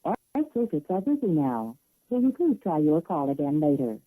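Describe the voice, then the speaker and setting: A woman's voice: low voice, very animated, moderate pace. A woman reading a scripted recorded telephone announcement over a noisy line.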